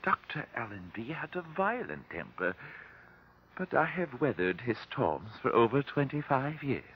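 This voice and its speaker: Husky, swooping formal professor witness. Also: male voice, medium pitch, sing-song, a little slow, heavily acted. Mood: flustered, defensive. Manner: formal, precise, guarded. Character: flustered, dignified male professor, long-serving colleague of victim. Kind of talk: old-time radio drama acting. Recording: dull, lo-fi old radio recording.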